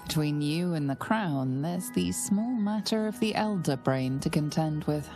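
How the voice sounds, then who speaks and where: An actress voicing a solemn, scheming narrator of a dark fantasy game, deliberate and knowing, addressing the player directly. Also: female voice, sits low, lively intonation, over music. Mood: ominous, enticing. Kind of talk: dramatic second-person game narration.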